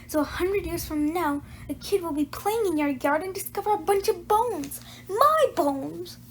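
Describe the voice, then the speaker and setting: Pushy little girl sleuth played by a woman, high, dramatic, insistent, storybook read-aloud. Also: expressive, moderate pace, slight background noise. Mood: indignant, urgent. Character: eager, pushy young girl detective.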